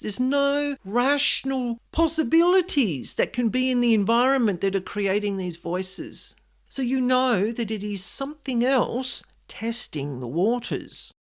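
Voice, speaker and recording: Female podcast host. Expressive, wide-ranging intonation, conversational solo delivery, emphatic and straight to the listener. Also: a little high, unhurried. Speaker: podcast host and paranormal specialist.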